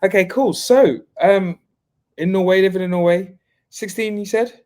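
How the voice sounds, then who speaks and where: A man's voice: high voice, normal speed, darkish tone. A man interviewing a guest in casual chat, saying "okay, cool" often and repeating phrases.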